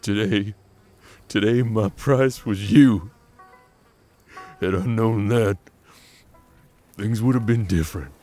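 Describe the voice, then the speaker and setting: An actor playing a weary, guilt-ridden older bounty hunter in a western audio drama, gravelly and earnest in delivery. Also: male voice, on the low side, slow pace, pauses often, mellow, soft background music. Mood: sorrowful.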